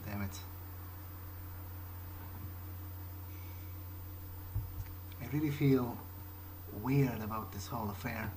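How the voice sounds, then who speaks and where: A man playing a hesitant, protective vampire in an improvised video game roleplay, speaking in a halting, understated way. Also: on the low side, dark tone, natural voice. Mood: uneasy musing. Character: hesitant, awkward, protective male vampire, musing aloud. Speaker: gamer playing in character. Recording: noisy recording with game ambience.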